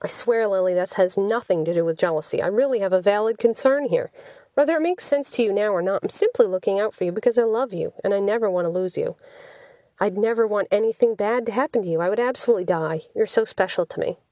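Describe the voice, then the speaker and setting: A woman's voice: medium pitch, fast talker, mellow, no room sound, studio quality, natural voice. Woman reading as anxious, protective young boyfriend. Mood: earnest, worried. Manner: earnest, pleading.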